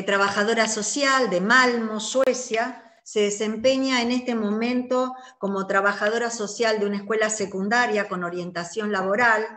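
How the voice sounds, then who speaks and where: A woman moderates an online panel, introducing speakers at a measured pace with fillers. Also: a little high, fairly bright tone. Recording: echoey room.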